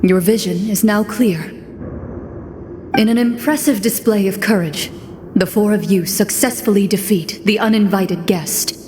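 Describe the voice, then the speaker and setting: An actress playing a composed female duelist who narrates as game master in a video game, formal and measured. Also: mid-range voice, noisy background, close to an everyday voice. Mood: solemn, composed.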